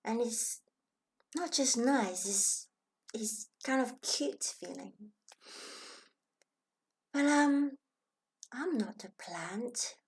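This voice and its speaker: Slow, gentle woman with Hong Kong accent. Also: on the high side, expressive, poor audio. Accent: Hong Kong Chinese. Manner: gentle, thoughtful, dropping at times into a soft whisper. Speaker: channel host. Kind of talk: reflective personal talk.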